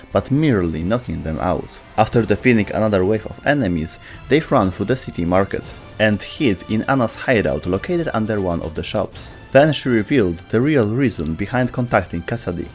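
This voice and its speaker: A man with a fairly low voice delivers scripted narration for a game lore video. He speaks as a storyteller over a music bed. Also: darkish tone.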